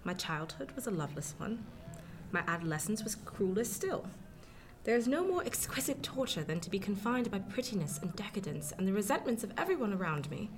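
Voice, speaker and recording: Over clearly noisy ambience, an actress plays a well-born young woman of eighteen in a period radio drama. She is defiant and resolute, with formal, emphatic diction and a bitter edge. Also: mid-range voice, lightly acted.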